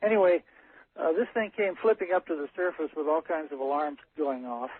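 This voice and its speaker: A man phoning a radio show, telling a story in a halting, matter-of-fact, technical way. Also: on the high side, expressive, a little hiss or hum. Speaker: caller, ship's captain. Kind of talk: unscripted storytelling on a call-in show. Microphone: telephone. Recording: narrow muffled phone line on radio.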